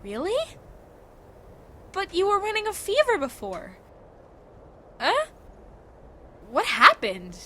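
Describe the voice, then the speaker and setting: A woman playing an excitable, bossy teenage schoolgirl who frets over a friend in an anime-style audio drama. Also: very high-pitched, slow, long pauses, noisy background, heavily acted. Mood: baffled, hesitant.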